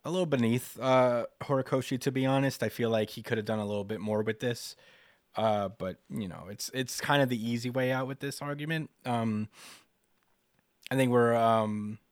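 A man's voice: mid-range voice, moderate pace, dry recording, studio quality. A man in casual analytical discussion as a podcast co-host, speaking thoughtfully and searching for words as he goes.

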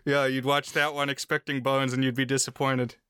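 A man's voice: mid-range voice, level tone, fairly quick, sharp tone, smooth, dry recording, clean studio sound. An American man co-hosting a casual film discussion in a wry, articulate, explanatory way. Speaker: podcast co-host.

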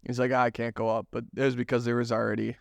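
A young man with a gravelly, creaky voice at an ordinary pitch, chatting casually on a podcast. He chimes in with quick agreements and tells short stories. The sound is dry and close. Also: flat delivery, dry recording. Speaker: podcast co-host. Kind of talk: casual chat.

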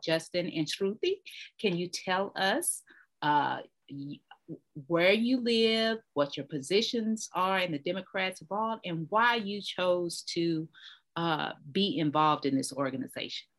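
A woman hosting a podcast, reading out guest introductions and then asking her guests questions in a measured way. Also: low-pitched. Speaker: podcast host.

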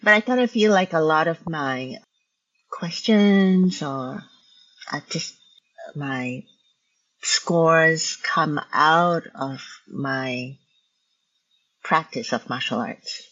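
A choreographer whose first language is Hokkien, speaking in a reflective conversation over a video call, thoughtful and halting, with many restarts. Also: female voice, deep voice, a bit bright. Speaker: choreographer and teacher. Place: home in Vancouver. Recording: dull lo-fi video call audio.

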